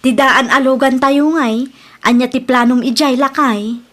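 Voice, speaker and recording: A woman playing a generous, respectful wife and dutiful daughter in an audio drama, speaking gently and politely. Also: high-pitched, fairly even intonation, measured pace. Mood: agreeable.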